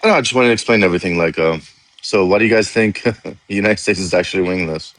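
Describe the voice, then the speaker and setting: A man's voice: low voice, quick pace, fairly bright tone. A man from Iraq arguing politics as a guest on a live stream, talking over the host and laughing mid-sentence. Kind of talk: heated political debate.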